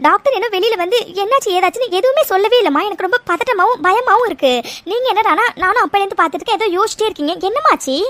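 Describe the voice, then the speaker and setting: Woman acting an anxious, high-pitched young woman. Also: expressive, very fast, fairly bright tone, no room sound. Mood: worried. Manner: fretful, pressing, wordy. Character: anxious young woman fretting to her mother. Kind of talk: serial-style audio drama acting.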